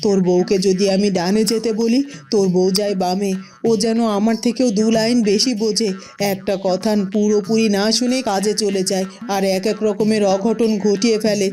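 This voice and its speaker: Woman playing a mellow-toned village mother-in-law. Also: mid-range voice, fairly even intonation, normal speed, faint music behind, close to an everyday voice. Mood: exasperated. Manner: scolding, dramatic lamenting. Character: exasperated, nagging middle-aged village mother-in-law. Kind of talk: Bengali cartoon voice acting.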